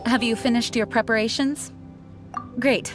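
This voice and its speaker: A woman acts as a protective young female knight leader from a video game. She speaks in a fairly high voice, earnest and composed with a touch of formality. Music plays clearly behind her. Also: steady tone, unhurried, natural voice. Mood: composed, concerned. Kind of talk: video game character acting.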